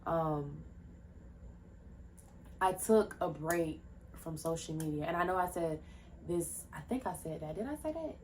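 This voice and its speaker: A young woman in her twenties hosts her own podcast from home, talking in a loose, chatty ramble that drifts from one tangent to the next. Her voice sits low for a woman. Faint fireworks pop somewhere behind her. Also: animated, unhurried, pauses often, darkish tone, a little hiss or hum, poor audio. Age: 20s. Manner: rambling, jumps between tangents; loose, chatty, many fillers and restarts. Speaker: podcast host. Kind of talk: casual solo podcast talk. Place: at home, indoors. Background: faint fireworks popping.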